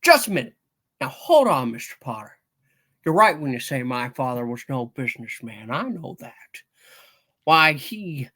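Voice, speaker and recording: A man's voice: a little high, pushed and bright, heavily acted. A man playing an earnest, indignant young man who stammers through an impassioned defence in an amateur radio-play reading. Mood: earnest protest.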